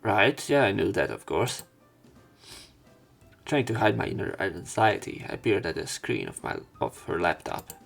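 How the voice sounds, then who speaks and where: A man with a fairly low, creaky voice narrates a visual novel for a let's play. He reads the text aloud and slips into casual, wry asides, halting as he goes. Faint music plays behind him. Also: big pitch swings. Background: faint game music.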